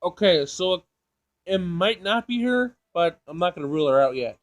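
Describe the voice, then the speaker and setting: A man doing casual playthrough commentary, reading game text aloud, jokey and thinking out loud as he repeats himself. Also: high-pitched, animated, moderate pace. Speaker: gaming video host.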